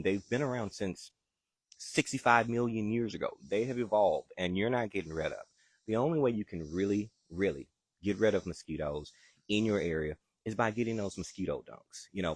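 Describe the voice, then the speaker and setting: A man's voice: low voice, husky, no echo. A man with a Southern accent, hosting a live gardening chat outdoors in his backyard and answering viewer questions in an easygoing, chatty way. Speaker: gardening show host.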